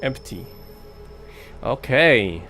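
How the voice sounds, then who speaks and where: A man's voice: mid-range voice, very animated, drawn-out words, pushed and bright, faint music behind. A man streaming a game with casual commentary, musing aloud and chatting with his viewers. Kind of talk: casual let's play commentary.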